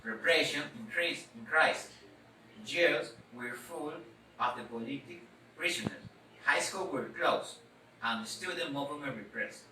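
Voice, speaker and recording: A man reads a scripted presentation in halting, word-by-word non-native English. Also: mid-range voice, pushed and bright. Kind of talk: scripted presentation read aloud. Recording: echoey, slightly noisy room, distant mic.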